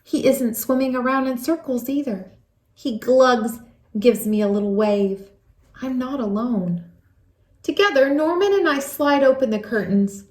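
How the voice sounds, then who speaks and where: A woman with a fairly high, very lively voice reads a children's storybook aloud. She takes her time and draws out words for emphasis. The room adds a noticeable echo. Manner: animated, deliberate storytelling with drawn-out emphasis. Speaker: storybook reader. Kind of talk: expressive children's read-aloud narration.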